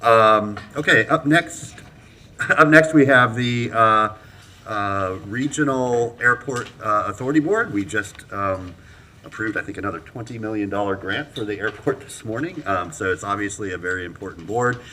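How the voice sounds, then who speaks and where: A man's voice: lowish voice, sharp tone, a little hiss or hum. A man chairing a city commission meeting as mayor, moving through appointments with many ums and uhs. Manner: halting, many fillers, brisk procedural. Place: council chamber.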